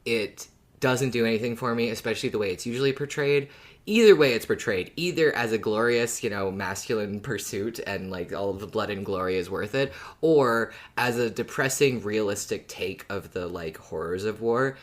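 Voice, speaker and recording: A podcast co-host talking casually as a fan, animated and emphatic, with some swearing. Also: mid-range voice, moderate pace.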